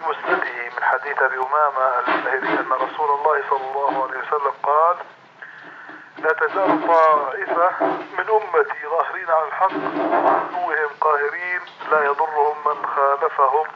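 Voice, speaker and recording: A man's voice: a little high, steady tone, fairly quick. A man reading a religious tradition aloud in a formal recitation of text. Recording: muffled, noisy old tape recording.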